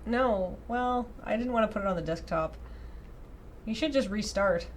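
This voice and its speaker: Expressive woman streamer reading aloud, noisy background. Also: mid-range voice, moderate pace. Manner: expressive reading broken by wry, self-deprecating asides. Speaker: streamer reading out a dating sim visual novel. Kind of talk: live game stream, narration read aloud with casual asides. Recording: clearly audible background noise.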